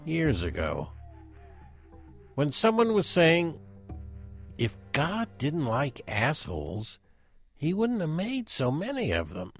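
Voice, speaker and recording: An actor playing a wry, self-deprecating intellectual who rambles hesitantly through a radio play monologue. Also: male voice, on the high side, huge pitch swings, slow, vocal fry, long pauses, no echo, soft background music, heavily acted. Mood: wry, reminiscing. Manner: hesitant, digressive, chuckling asides. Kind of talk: conversational dramatic monologue.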